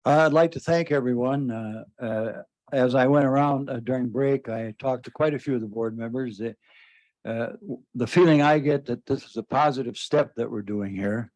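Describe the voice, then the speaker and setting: A man runs a public county board meeting in a loud, ordinary mid-range voice. He pauses often on "uh" as he works through each point. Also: mid-range voice. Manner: halting, frequent "uh" fillers. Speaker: board chairman.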